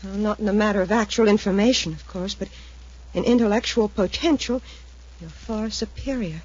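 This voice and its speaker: A woman's voice: medium pitch, normal speed, a little mellow, lightly acted. Actress as anxious woman researcher, earnest, exact diction, fifties radio drama, muffled sound. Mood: uneasy, awed. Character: nervous, expert female research assistant in over her head. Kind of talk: 1950s radio adventure acting. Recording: muffled 1950s radio recording.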